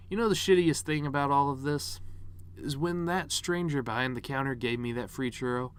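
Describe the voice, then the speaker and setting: A man's voice: mid-range voice, fairly bright tone, clean tone, dry recording, close to an everyday voice. A man performing a dramatic monologue as a bitter, grieving middle-aged cartoon horse-man, sardonic, rambling and wounded. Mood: hurt, angry. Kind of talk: dramatic reading of a eulogy monologue.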